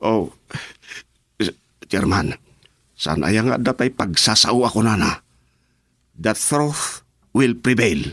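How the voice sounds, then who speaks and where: A man's voice: on the low side, lively intonation, slow, pauses often, fairly bright tone, breathy edge, no room sound, full character voice. Henpecked village councilman, Ilocano accent, swooping hesitant delivery, dry close sound, radio comedy. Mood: pleading. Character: henpecked village councilman making sheepish excuses to his chairman.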